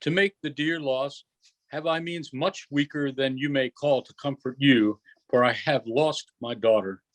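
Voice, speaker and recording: A man reading the part of a commanding, forgiving yet stern duke and magician in an unrehearsed Shakespeare play, in a measured, declamatory way. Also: mid-range voice, steady tone, lightly acted. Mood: measured, grave.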